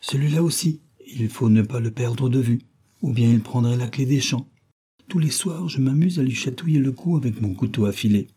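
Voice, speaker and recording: A man's voice: mid-range voice, moderate pace, mellow, breathy edge, lightly acted. A man voicing a wild, cruel little robber girl who talks in a fierce, teasing, bossy way.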